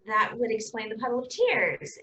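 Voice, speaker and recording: A woman's voice: on the high side, sing-song, normal speed, highs cut off, a crowd in the background. A woman acting a bossy, sassy, foul-mouthed heroine in a staged play reading, recorded in an echoing room. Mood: wry, determined. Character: brash, foul-mouthed, tough woman hero rallying her partner.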